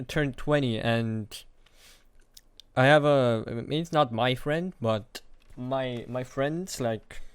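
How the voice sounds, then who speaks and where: Late-teens man speaking in a confessional vlog. His voice is smooth and clear, recorded dry with no room sound. He talks haltingly and runs himself down. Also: mid-range voice, no room sound. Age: young adult. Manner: hesitant, halting, self-deprecating. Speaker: vlogger. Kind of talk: confessional monologue to camera.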